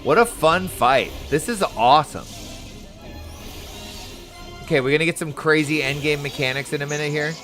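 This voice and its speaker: An excitable, enthusiastic man giving casual, exclamatory live commentary as he plays an online role-playing game. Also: fairly high pitch, normal speed, long pauses, faint music behind. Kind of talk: live gameplay commentary, casual chat to viewers.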